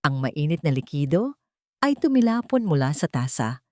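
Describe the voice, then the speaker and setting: A woman's voice: sits low, big pitch swings, normal speed, mellow, no room sound, studio quality. A woman with a Filipino accent narrating a dramatic story from a script. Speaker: audiobook narrator.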